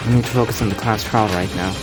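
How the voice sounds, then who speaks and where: A man's voice: on the low side, steady tone, fast. A man doing casual let's-play commentary as he plays through a visual novel. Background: loud game music.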